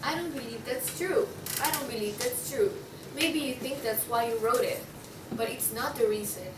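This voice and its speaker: A woman's voice: fairly high pitch, moderate pace, recorded from a distance, natural voice. A woman playing a fervent, sincere believer from among the people, speaking plainly and insistently in a table read of a stage play. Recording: echoey room from afar, clear noise.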